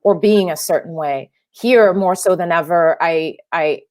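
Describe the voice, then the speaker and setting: A woman's voice: on the low side, unhurried, a little mellow. A young adult woman filmmaker whose first language is French, answering interview questions thoughtfully, with many restarts and fillers. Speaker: filmmaker guest.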